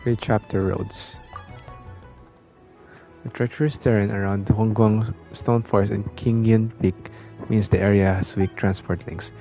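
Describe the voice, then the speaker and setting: A man's voice: fairly low pitch, little pitch movement, measured pace, mellow. A man streaming a game and reading its text aloud in a plain delivery. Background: game music.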